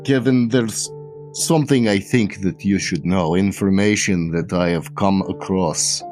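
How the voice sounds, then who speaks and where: A man doing a grave, measured guild benefactor. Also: sits low, a little mellow, soft background music. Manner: slow, careful, deliberate warnings.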